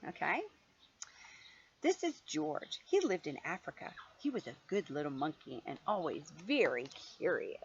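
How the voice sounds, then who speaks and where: A mature woman reads a children's story aloud with lively swings of pitch. Her delivery is playful and expressive, moving easily into chatty asides for a young listener. The recording is dull and homemade, with faint noise. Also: normal speed, gravelly. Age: middle-aged or older. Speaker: grandmother reading a picture book to a young child.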